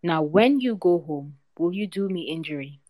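A woman voicing a wise, fatalistic male snake king in a read-aloud folk tale, with a measured, solemn delivery. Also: on the low side, expressive, dark tone, dry recording. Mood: grave.

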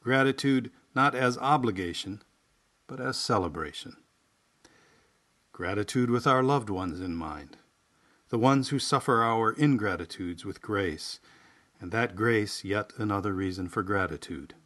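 Low-voiced man, folksy delivery. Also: unhurried, a bit bright. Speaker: podcast host and writer. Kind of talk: casual monologue and reflective essay reading.

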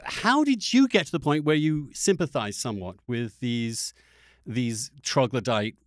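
A man hosting a conversational interview podcast, speaking articulately with halting phrasing and emphatic word choices. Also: medium pitch, animated, no room sound, clean studio sound. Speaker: podcast host.

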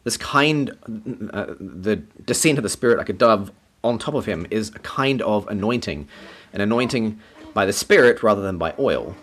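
A man preaching a teaching sermon, explaining scripture in a measured, explanatory way. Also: on the low side, expressive, fairly bright tone. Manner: explanatory, measured, occasional light laugh.

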